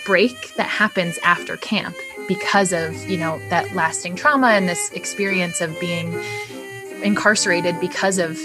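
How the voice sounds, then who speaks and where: A woman's voice: fairly low pitch, measured pace. A young adult woman giving a reflective interview answer as a scholar, thoughtful and searching, with many ums and likes. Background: soft background music.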